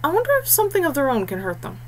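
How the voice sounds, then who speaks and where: A woman's voice: high-pitched, very fast, voice pushed up. Woman as a weary young heir, background hum. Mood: tense. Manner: tired, earnest. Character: weary young male heir, dutiful fantasy fighter. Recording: clear steady background noise and hum.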